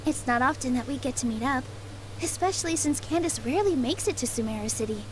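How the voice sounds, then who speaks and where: A woman's voice: high voice, expressive, fast, slight background noise, rough recording. A woman playing a cheerful, helpful young woman, upbeat and chatty, in an anime game.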